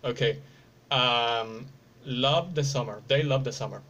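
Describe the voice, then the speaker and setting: A man's voice: medium pitch, unhurried, dull audio, background noise. A man who is not a native English speaker, asking casual interview questions and searching for his words.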